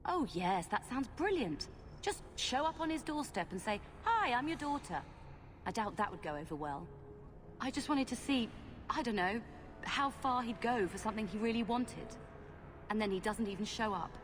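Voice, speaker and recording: A woman's voice: on the high side, normal speed, close to an everyday voice. Woman acting a sardonic young adventurer, reverberant. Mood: sarcastic. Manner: sardonic, expressive. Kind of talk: video game voice acting.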